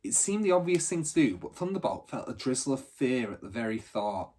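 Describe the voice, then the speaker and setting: A man narrating a children's storybook aloud in an expressive, teacherly reading style. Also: mid-range voice, brisk.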